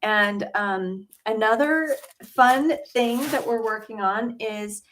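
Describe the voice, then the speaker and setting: A woman's voice: a little high, slow pace, fairly bright tone, slight background noise, poor audio. A middle-aged woman from Utah hosting a casual livestream from her home studio, chatty and full of ums and uhs. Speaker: quilting channel host.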